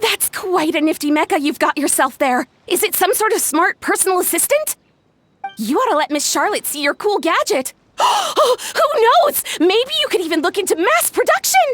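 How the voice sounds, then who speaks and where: A woman playing an excitable, dreamy and earnest young amusement park showwoman in a video game, effusive and theatrical. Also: very high voice, moderate pace, faint music behind, full character voice. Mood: awed, flustered.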